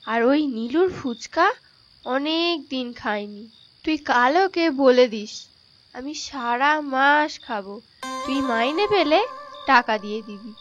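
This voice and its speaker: High-voiced, nagging cartoon mother. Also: expressive, measured pace. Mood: wheedling. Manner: wheedling, complaining. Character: nagging, needy Bengali mother of grown son. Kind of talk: cartoon voice acting. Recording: dull lo-fi track with music behind.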